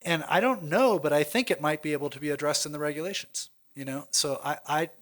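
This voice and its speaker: A man's voice: fairly high pitch, brisk, fairly bright tone. American man thinking aloud on a panel. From United States. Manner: thinking aloud, many restarts and fillers. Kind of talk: conference panel discussion. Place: lecture hall at a conference.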